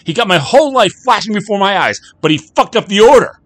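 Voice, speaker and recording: A coarse, hotheaded brawler roars his complaints, far higher and louder than a plain telling voice, as a man puts him on. The shouting is rough-tongued and raw. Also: lively intonation, fast, no room sound. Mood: ranting. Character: violent bitter middle-aged brawler, coarse and hotheaded.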